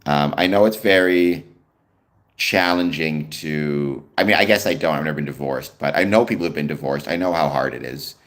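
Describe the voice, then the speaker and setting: A man's voice: very deep voice, moderate pace, fairly bright tone. A young Canadian man with a Canadian accent hosts his live stream in easygoing chat, answering viewers' comments with frequent ums. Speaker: livestream host.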